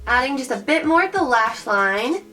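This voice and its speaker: A young woman with a raspy voice from recent illness, chatting bubbly through a makeup tutorial and calling her viewers guys. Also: sits high, sing-song, normal speed. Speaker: beauty vlogger. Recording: mic at a distance, soft background music.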